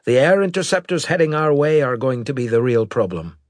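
A man voicing a brash, excitable male ace pilot in an audiobook, with cheeky, urgent military talk. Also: medium pitch, fairly quick, no room sound, voice pushed up, lightly acted. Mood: brisk, confident. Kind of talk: audiobook character acting.

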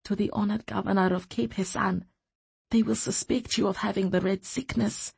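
Woman narrator as bold, husky protective woman. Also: medium pitch, normal speed, darkish tone, no echo. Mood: urgent, hushed. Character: bold, protective woman defying armed soldiers.

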